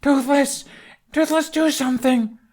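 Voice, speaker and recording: A man's voice: extremely high pitch, steady tone, measured pace, voice pushed up. A man voicing a desperate, defiant teenage boy who cries out for his dragon in an audiobook. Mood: desperate pleading. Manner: yelling, crying out, impassioned. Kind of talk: audiobook character acting.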